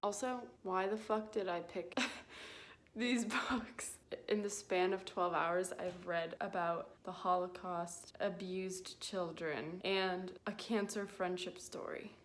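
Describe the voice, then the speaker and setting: A woman's voice: fairly low pitch, fairly even intonation, slow, slight background noise. A woman vlogger chatting casually and informally to her viewers, rambling and poking fun at herself. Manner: informal, self-mocking, rambling. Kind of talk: casual confessional vlog chat to viewers.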